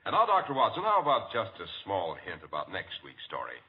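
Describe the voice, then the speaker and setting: A man's voice: sits low, huge pitch swings, a little fast. A man announcing and chatting as the host of a 1940s radio show. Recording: dull old-time radio recording.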